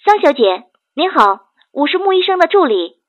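Woman as sweet young medical assistant, audiobook phone call, high and lilting, muffled line. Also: very animated, normal speed. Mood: sweet, apologetic. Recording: dull, band-limited phone-call sound.

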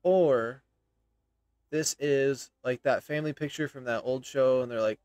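A man's voice: mid-range voice, unhurried, a little mellow, clear, resonant tone, dry recording. A man in his thirties doing casual, rambling, hesitant gameplay commentary and reading game dialogue aloud as he streams. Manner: rambling, hesitant, self-interrupting.

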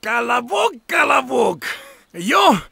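An actor voicing a fierce, greedy wolf with a shouting, menacing manner in a children's cartoon dub. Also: high voice, very animated, slow pace, creaky, exaggerated voice. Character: fierce, greedy wolf who eats everything.

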